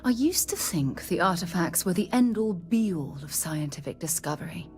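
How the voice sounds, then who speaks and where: Game scientist: actress as formal, curious researcher, low-ish voice, measured delivery, faint music. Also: female voice, lively intonation, a little mellow, natural voice. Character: formal, curious female scientist, precise and fascinated. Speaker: scientist companion. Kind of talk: video game voice acting.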